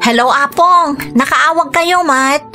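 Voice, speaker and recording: A woman's voice: sits high, measured pace, music in the background. A woman acting an earnest, dutiful and forgiving young migrant worker in an Ilocano radio drama. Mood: polite.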